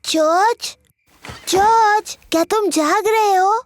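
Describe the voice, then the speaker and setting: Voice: very high-pitched, takes it slowly, dry recording. A performer voicing a cheerful, eager little girl piglet in a children's cartoon, playful and blunt. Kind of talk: children's cartoon dub in Hindi.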